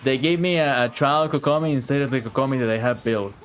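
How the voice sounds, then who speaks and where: A man's voice: mid-range voice, fast. A man giving casual live-stream game commentary in slangy, chatty language with plenty of swearing. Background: game music.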